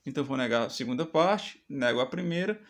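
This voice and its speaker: Gravelly math teacher. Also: male voice, medium pitch, expressive, fairly quick. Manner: explanatory, checks in with "tá certo?". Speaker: math teacher for exam prep. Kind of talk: step-by-step exam lesson.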